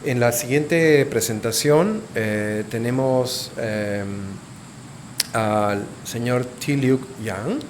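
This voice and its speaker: A man's voice: medium pitch, extremely slow, slight background noise. A man moderating a conference panel, summarizing talks with frequent fillers.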